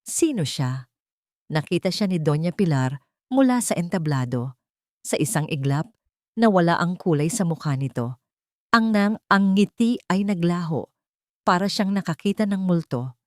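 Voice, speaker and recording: Woman telling a dramatic story, slightly low voice, really dry close sound, measured delivery. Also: expressive, darkish tone, dry recording. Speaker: story narrator. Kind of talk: scripted dramatic narration.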